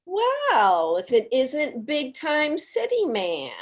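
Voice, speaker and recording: A woman acts out a needling mother of sixty in a comedy script. Her voice sits fairly high. She teases with mock sweetness. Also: huge pitch swings, takes it slowly, exaggerated voice. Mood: teasing. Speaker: actor in a table read. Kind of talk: comedic dialogue reading.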